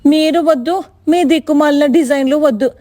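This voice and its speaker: A woman's voice: high-pitched, a little fast, warm tone, dry recording, studio quality, lightly acted. A woman voicing an angry, taunting village customer in a cartoon moral story, scolding sarcastically. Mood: scornful scolding. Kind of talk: animated moral story dialogue.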